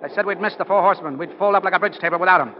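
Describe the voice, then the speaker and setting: A man acts out an earnest, driven football coach in a 1940s radio play. His fairly high voice is emphatic and folksy, on a muffled old broadcast. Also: rapid-fire, slight background noise, lightly acted. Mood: confident. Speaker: actor in radio drama.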